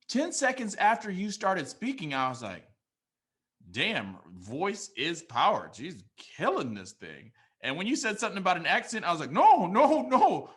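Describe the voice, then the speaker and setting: Young male emcee, hyped and casual, bright punchy voice. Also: high-pitched. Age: young adult. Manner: enthusiastic, bursts of shouting and laughing, casual slang. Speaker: event host and teacher. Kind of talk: energetic off-the-cuff remarks.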